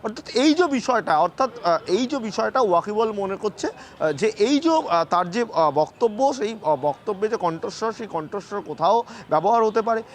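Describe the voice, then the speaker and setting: A man with a West Bengal Bengali accent giving live news analysis as a correspondent, halting and repeating himself for emphasis. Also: sits high, lively intonation. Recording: clear background noise and ambience.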